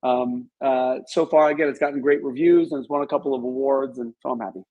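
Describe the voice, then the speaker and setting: A man's voice: mid-range voice, steady tone, quick pace, highs cut off. A middle-aged man speaking casually as an interview guest, telling stories in a candid, self-deprecating and chatty way. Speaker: interview guest, author and business owner.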